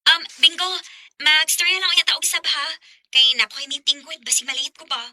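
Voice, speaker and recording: Very high-pitched, coy young sweetheart. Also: female voice, moderate pace, bright, forceful tone, dry recording, studio quality. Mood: coy, friendly. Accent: Cebuano Bisaya. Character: sweet, coy young woman being courted. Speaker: radio drama actor. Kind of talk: Bisaya radio drama acting.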